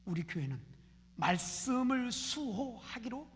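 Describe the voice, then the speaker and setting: A woman's voice: fairly high pitch, big pitch swings, measured pace, slight background noise. A woman pastor from Seoul preaching a fervent sermon in a church, repeating phrases in rising prayer chants. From Seoul, South Korea. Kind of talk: impassioned sermon. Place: church sanctuary. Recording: reverberant church hall.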